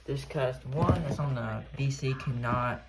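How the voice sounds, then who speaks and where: A man's voice: mid-range voice, vocal fry. A man giving a casual, hands-on show-and-tell as a collector, rambling and often correcting himself as he goes. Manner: halting, rambling, self-correcting. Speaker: radio collector showing a collection. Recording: noisy room with clicks.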